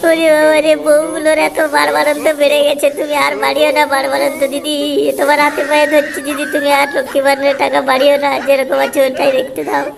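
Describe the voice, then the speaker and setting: A man playing a henpecked, cowardly cartoon husband who whines and pleads, with a West Bengal accent and a pitched-up voice effect. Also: completely flat delivery, fast, gravelly, few pauses, background noise. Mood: pleading. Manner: pleading, lamenting. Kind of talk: amateur comic cartoon dubbing.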